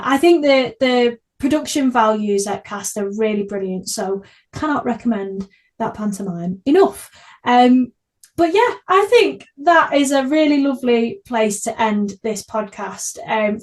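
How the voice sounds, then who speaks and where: A woman hosts a casual podcast conversation. Her voice sits fairly high. She speaks effusively and with enthusiasm, in an informal chatty style. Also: a little mellow. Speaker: podcast host.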